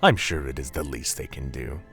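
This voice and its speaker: A man's voice: extremely low pitch, fairly quick, vocal fry, over music. A man playing an arrogant demon prince in a roleplay drama, dry, haughty and sardonic. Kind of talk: Minecraft roleplay voice acting.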